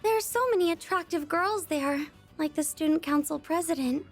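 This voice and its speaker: Love-struck teen game heroine; tiny high voice, hesitant, clingy, faint music behind. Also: female voice, normal speed. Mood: clingy, longing. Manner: hesitant, pleading, soft-hearted. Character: shy, clingy, love-struck teenage schoolgirl. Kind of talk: video game voice acting.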